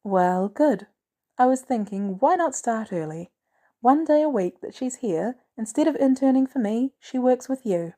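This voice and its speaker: A woman voicing a poised, caring female executive with composed, gently teasing delivery in a story reading. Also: a little high, lively intonation, unhurried, voice pushed up. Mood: scheming. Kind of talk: storybook character dialogue.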